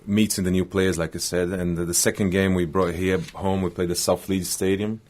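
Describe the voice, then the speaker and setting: A man from Brazil, a non-native speaker of English, talking haltingly and searching for words as a guest on a local radio show. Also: low-pitched, flat delivery, darkish tone. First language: Portuguese. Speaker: team manager. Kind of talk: radio interview.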